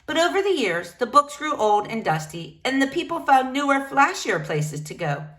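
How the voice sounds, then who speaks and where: A woman reading a children's book aloud, narrating in an expressive storytelling manner. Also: sits high, normal speed. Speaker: storybook reader.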